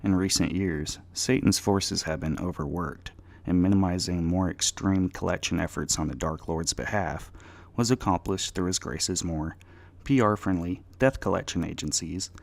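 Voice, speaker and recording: A man's voice: low voice, moderate pace, vocal fry. A man narrating fiction as a scripted dramatic reading. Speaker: audiobook narrator.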